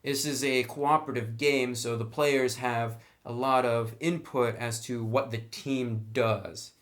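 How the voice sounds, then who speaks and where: A man's voice: medium pitch, steady tone, unhurried, a bit bright. A man giving a casual, unscripted review of a roleplaying book in a conversational, enthusiastic way. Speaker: reviewer of tabletop roleplaying books.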